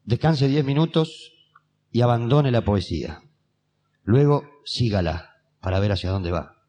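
Uruguayan male stage poet, medium pitch, deadpan instructional delivery, live theatre cassette recording. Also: measured pace. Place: theatre stage, 1980s cassette taken from the mixing desk.

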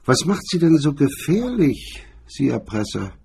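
A man voicing a haughty, scornful female film star in a crime radio drama, husky and disdainful. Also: fairly high pitch, sing-song, a little slow, darkish tone, pitched above the performer's own voice, heavily acted. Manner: disdainful, snide, affected.

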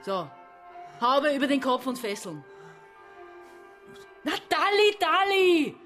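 A woman's voice: high voice, very animated, slow, fairly bright tone, soft background music, exaggerated voice. An actress playing a domineering, irritable woman in her forties in a radio crime play, barking orders. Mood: furious, commanding. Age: 40s. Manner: barking, commanding.

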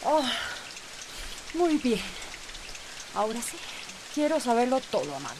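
An actress with a Costa Rican accent playing a bossy but caring woman friend in a radio soap, expressive and insistent. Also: fairly high pitch, unhurried, background noise. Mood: concerned, insistent. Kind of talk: radio soap opera acting.

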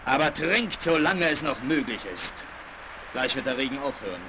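An actor playing a calm, commanding frontier hero in a children's western radio play, speaking in measured, articulate phrases. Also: male voice, mid-range voice, natural voice. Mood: calm, practical. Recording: crackly vinyl rip of radio play.

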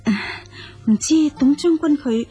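A woman's voice: sits high, measured pace, mellow, soft background music. A woman acting in a Cantonese audio drama as a humble, sorrowful noblewoman of ancient China, sighing and speaking with formal courtesy. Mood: excited, courteous.

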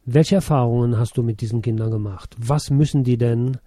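A male interviewer asks his questions in a calm, composed way and restates his guest's points. His voice is very dark and mellow. The sound is close and dry, with no room echo. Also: medium pitch, a little fast. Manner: composed, summarizing. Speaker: radio interviewer.

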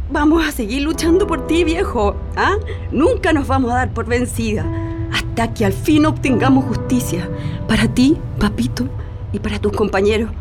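A grieving but resolute daughter in a Chilean radio play, acted by a woman. Her voice is fairly high, with a tender, intimate address and a Chilean accent. Music plays clearly behind her. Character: grieving, resolute daughter bidding farewell to her executed father. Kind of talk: radio drama acting.

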